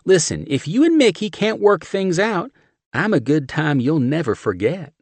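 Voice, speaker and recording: A man's voice: a little high, big pitch swings, moderate pace, darkish tone, no room sound, pitched above the performer's own voice. A man voicing a brash, flirtatious woman. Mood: brash flirty. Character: brash flirty Southern woman, loud and bold.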